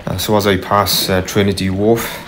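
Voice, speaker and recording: A man narrating casually as he paddles a kayak on a river, unhurried, with frequent ums and uhs. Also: deep voice, flat delivery, fairly bright tone, slight background noise. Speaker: kayaker vlogger. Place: kayak on a tidal river outdoors. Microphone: camera mounted on the kayak.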